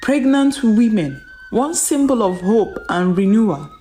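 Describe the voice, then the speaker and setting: A woman narrating a folktale in a dramatic, solemn and deliberate storytelling manner, repeating phrases for emphasis. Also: a little high, animated, mellow, faint music behind.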